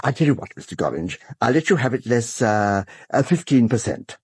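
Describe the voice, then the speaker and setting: An actor playing a hesitant, deferential British antique dealer in a radio drama, obliging and halting. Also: male voice, medium pitch, sing-song, a little mellow, slightly rough, no echo, studio quality. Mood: obliging, selling. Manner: hesitant, obliging, stammering with ers.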